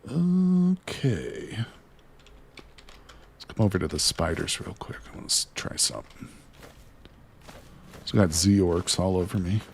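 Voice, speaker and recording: A man streaming a video game, chatting excitedly to his viewers as he plays, losing his voice near the end of a long session. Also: low voice, unhurried, gravelly, pauses often, a little hiss or hum. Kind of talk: casual gameplay commentary.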